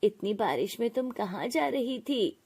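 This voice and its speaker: A woman voicing a fatherly, benevolent male temple priest in an animated moral story, soothing and reassuring. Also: a little high, measured pace, slightly rough, full character voice.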